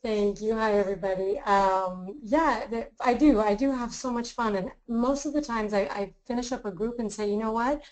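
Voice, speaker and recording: A woman's voice: fairly high pitch, fairly even intonation, moderate pace, few pauses, a little mellow, poor audio. A woman born in Greece and raised in the US, speaking as a tour manager. She is upbeat and laughs easily. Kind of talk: webinar talk about travel.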